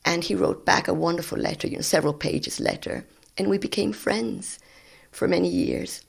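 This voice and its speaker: A woman in her sixties whose first language is Spanish, a guest scientist telling stories of her work in a relaxed, animated way. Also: fairly low pitch, normal speed, gravelly, breathy edge. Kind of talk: conversational storytelling.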